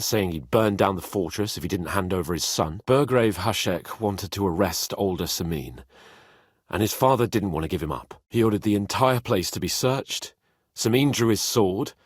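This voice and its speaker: A man's voice: sits low, expressive, normal speed, slightly rough, no room sound. An actor voicing a grave, blunt medieval nobleman in a video game, with a dry manner of speaking.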